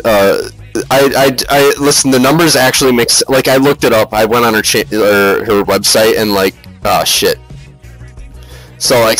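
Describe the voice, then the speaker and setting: A man rambles through a casual comedic review as the host of the video. His delivery is halting, sweary and mock-serious, and faint music plays behind him. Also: fairly low pitch, a bit bright, rough recording. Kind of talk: casual comedic slideshow commentary.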